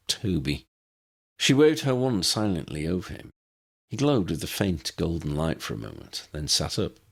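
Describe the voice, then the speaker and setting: A man's voice: sits low, gravelly, a bit bright, breathy edge. A man reading a scripted story as an audiobook narrator.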